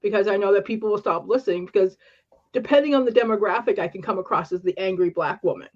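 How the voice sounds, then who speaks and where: An earnest woman with an upper-class Canadian accent, speaking in quick fragments. Her voice sits at a middle pitch. The stream audio is slightly dull and lo-fi. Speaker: panelist. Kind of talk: casual live-stream panel chat.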